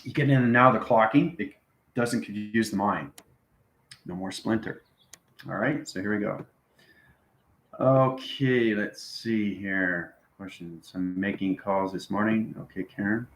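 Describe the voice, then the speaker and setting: Low-voiced man in an echoey room. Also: measured pace, a bit bright, a little hiss or hum. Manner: instructional, frequent check-in tags like okay and right. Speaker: host answering live viewers. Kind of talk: live-stream spiritual talk, rambling explanation.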